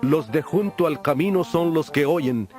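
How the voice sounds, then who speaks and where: A holy teacher in a dramatized scripture recording. His voice sits a little high for a man and moves in measured, declamatory phrases, patient yet authoritative. Soft music runs behind him. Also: lively intonation. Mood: solemn teaching. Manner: measured, declamatory preaching delivery. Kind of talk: dramatized audio Bible acting.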